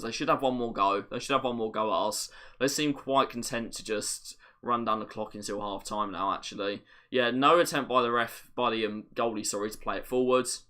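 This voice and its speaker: A man hosting a live watch-along, commentating on a match as a fan, his voice getting a little raspy. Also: bright, forceful tone. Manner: partisan, rises sharply on chances, drops low when play slows.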